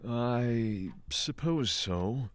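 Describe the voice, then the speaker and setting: A man's voice: medium pitch, lively intonation, very slow, no echo. A man playing a courteous, skeptical spiritual traveller in a fantasy audio drama, with formal, dryly inquisitive phrasing.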